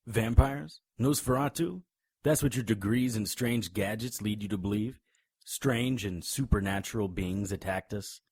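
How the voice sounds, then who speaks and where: A very crisp, low-pitched male voice for a hard-boiled noir monster hunter in an audio drama. He is brooding and world-weary, telling his story in deliberate, clipped phrases. The sound is dry and close. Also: a little mellow, no echo. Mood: skeptical, terse.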